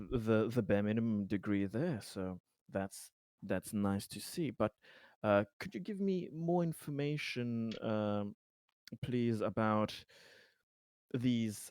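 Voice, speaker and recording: A man's voice: fairly low pitch, darkish tone, no echo. A man playing a tabletop roleplaying game, improvising wordy questions with a hesitant, stammering delivery.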